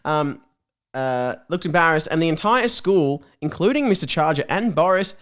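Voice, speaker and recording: A man with a high voice and very lively intonation hosts a comedy podcast. He moves between reading a story aloud and casual banter, playful and giggly as he goes. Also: moderate pace. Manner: jokey, giggly, playfully exaggerated. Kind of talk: casual comic chat mixed with reading aloud.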